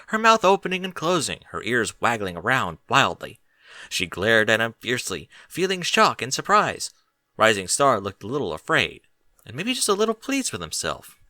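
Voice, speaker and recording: A man narrates a storybook tale in a bright, forward voice. His pitch moves up and down in a lively, expressive way, and the sound is close and dry. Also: no room sound. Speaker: audiobook narrator reading fan fiction.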